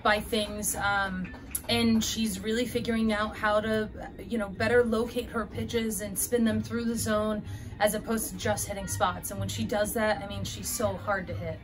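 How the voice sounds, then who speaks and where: A woman in her thirties, a head softball coach, answering postgame questions earnestly with many fillers, recorded indoors by a window. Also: mid-range voice, little pitch movement, moderate pace, music in the background. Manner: earnest, fillers and restarts, gestures while talking. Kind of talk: postgame press interview.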